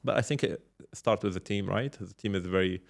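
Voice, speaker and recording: Low-voiced man, halting conversational delivery. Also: vocal fry. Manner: halting, repeats words, explains with examples and check-questions. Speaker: podcast guest, startup founder and mentor. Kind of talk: casual reflective interview talk.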